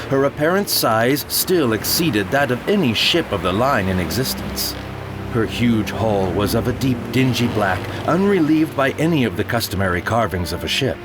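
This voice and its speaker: Male narrator with a mid-range voice, grave and measured, for a seafaring horror audio drama with music beneath. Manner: grave, measured storytelling, dropping low and quiet on dark phrases. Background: music underscore.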